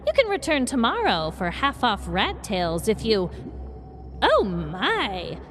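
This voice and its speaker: Old witch shopkeeper: highish, hugely animated, theatrical, sly warnings, Halloween skit over clear background noise. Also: heavily acted. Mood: brisk, surprised. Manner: theatrical, drawn-out, cautioning. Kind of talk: scripted Halloween podcast skit.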